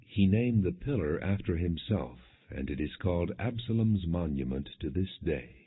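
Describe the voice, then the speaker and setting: A man reading scripture as an audiobook narrator, in measured, clearly enunciated storytelling without dramatization. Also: deep voice, animated. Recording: muffled, phone-like lo-fi audio.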